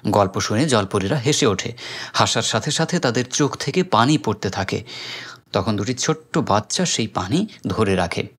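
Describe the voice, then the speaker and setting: A man's voice: fairly low pitch, animated, normal speed, fairly bright tone, slightly rough. A man with a Bangladeshi accent narrates a film recap as scripted storytelling.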